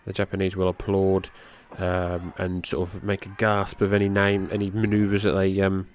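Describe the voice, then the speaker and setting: A middle-aged man with a slightly low voice calls a wrestling match in loose, rambling podcast commentary. He talks casually and swears here and there. He rises in pitch and volume when the big moves land. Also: moderate pace, slight background noise. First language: English. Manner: loose, rambling, swears casually, gets excited at high spots.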